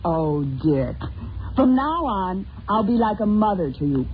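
A woman's voice: medium pitch, sing-song, unhurried. A woman playing a gushing, glamorous newlywed in melodramatic old radio style. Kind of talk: old radio drama acting. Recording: tinny old recording, faint noise.

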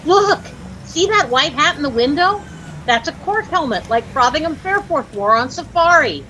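An actress with an American accent playing a chirpy, easily dazzled young housewife who gushes and lightly scolds in a 1940s radio comedy. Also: female voice, high-pitched, expressive, normal speed, sharp tone. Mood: gushing, admiring. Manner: gushing, breathlessly admiring, lightly scolding. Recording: noisy old-time radio recording.

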